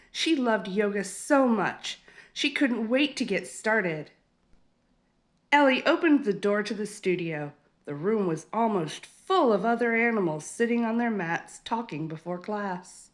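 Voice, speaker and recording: A woman reads a children's story aloud. Her pace is slow and deliberate, her pitch sits in the middle, and her delivery is expressive. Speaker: storybook reader. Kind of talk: scripted children's story narration.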